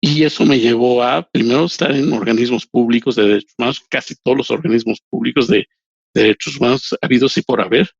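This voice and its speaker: A man, a human rights lawyer and professor whose first language is not Spanish, answering interview questions in slow, deliberate speech with many restarts. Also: medium pitch, steady tone, creaky, breathy edge, no room sound, highs cut off.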